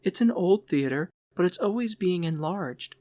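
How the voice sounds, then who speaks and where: An eager young woman from a storybook, voiced by a man, pitched on the high side. The delivery is friendly and chatty, full of enthusiasm, in a dry, close recording. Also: expressive, moderate pace, mellow, dry recording. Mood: friendly, urging. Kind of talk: audiobook character voice.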